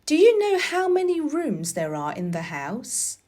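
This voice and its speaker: Bright, bossy young storybook girl, read by a woman, higher voice, precise and animated. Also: unhurried, full character voice. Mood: eager.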